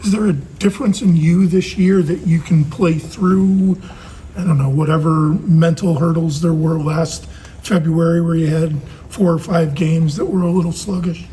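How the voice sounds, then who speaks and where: A man's voice: a little high, fairly even intonation, normal speed, warm tone, a little hiss or hum, rough recording. A man reporter asking a loosely phrased question at a press conference.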